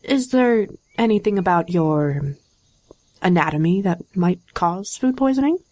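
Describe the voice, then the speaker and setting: A polite, coaxing hostess acted by a woman in a comedy audio skit. She flatters and probes in a fairly low female voice, recorded dry and close. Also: unhurried, no room sound. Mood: curious, polite.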